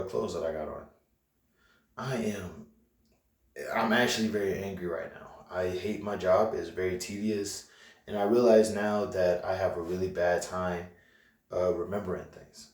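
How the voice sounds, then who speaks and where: A young man rambles through his week with plenty of fillers and restarts. His voice sits very low. It rings through an echoey room, picked up from a distance. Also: darkish tone. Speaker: vlogger. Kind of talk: casual vlog rant.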